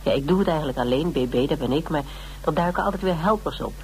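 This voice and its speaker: Actress doing a dutch lady detective in a radio play, wry n relaxed, teasing, bit of hiss on it. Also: deep voice, fast, close to an everyday voice, poor audio. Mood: musing, amused. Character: self-employed woman detective, warm, wry, practical. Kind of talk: naturalistic Dutch radio drama dialogue.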